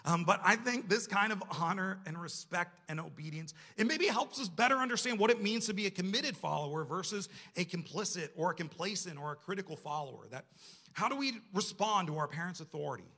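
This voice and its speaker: A man's voice: sits high, animated, a little fast, bright, forceful tone. A man preaching a sermon to a congregation in a conversational, self-deprecating way, often posing rhetorical questions. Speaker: church staff member preaching.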